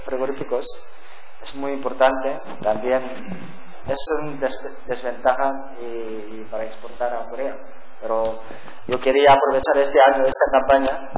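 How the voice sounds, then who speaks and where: A man's voice: medium pitch, steady tone. A man giving an unscripted talk at a business event, searching for words in a language not his own. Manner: halting, searching for words in non-native speech. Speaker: guest speaker, trade intermediary. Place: event room with audience. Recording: echoey reverberant hall, faint noise.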